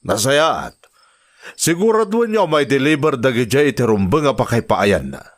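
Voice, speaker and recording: A man's voice: mid-range voice, big pitch swings, normal speed, natural voice. Man acting a volatile swooping crime boss. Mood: cold authority. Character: commanding, volatile male drug-gang boss. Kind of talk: Ilocano radio-style crime drama acting.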